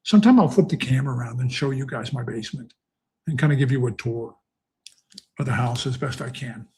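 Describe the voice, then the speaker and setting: A middle-aged man with a very husky, rough voice, talking through horse races in a rambling, chatty way. He fills his thoughts with pauses and asides. The sound carries a slight echo from his basement home studio. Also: medium pitch, mellow. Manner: chatty, rambling, thinks aloud with many fillers. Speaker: horse racing handicapper. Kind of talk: casual live-stream talk. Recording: echoey basement room.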